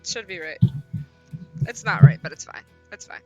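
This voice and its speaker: A woman's voice: fairly low pitch, moderate pace, dark tone, dull audio. A woman on a casual livestream, rambling in a self-deprecating way and at times reading text aloud. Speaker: streamer and host. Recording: music behind the voice.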